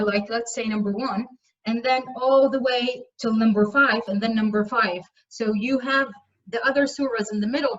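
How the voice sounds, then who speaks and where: A woman lecturing in English, her first language Arabic, who keeps stopping and restarting her sentences. Her voice sits fairly high. The recording is muffled and she sounds well away from the microphone in a lecture room. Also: far from the mic. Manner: hesitant, many fillers and restarts. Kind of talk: university lecture on translation.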